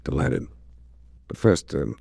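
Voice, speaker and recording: An actor playing a suave, wry hard-boiled investigator in a 1940s radio drama, with a dry, urbane delivery. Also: male voice, slow, noisy background, close to an everyday voice. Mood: dry, flirtatious. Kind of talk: 1940s radio detective acting and narration. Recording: restored 1940s radio recording.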